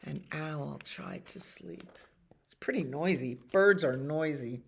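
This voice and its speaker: A woman's voice: deep voice, creaky, husky. A woman reading picture books aloud as a children's library storyteller, expressively, with casual asides about the pictures. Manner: expressive reading with acted animal sounds and pauses to comment on pictures. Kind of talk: read-aloud bedtime stories with casual asides.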